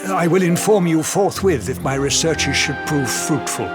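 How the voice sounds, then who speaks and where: An actor playing a solemn, courtly seer in a fantasy game, with archaic, measured diction. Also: male voice, a little high, close to an everyday voice. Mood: solemn, wondering. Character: solemn, courtly seer-like man, grave and gentle. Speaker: video game character actor. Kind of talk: formal theatrical cutscene acting. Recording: music playing behind the voice.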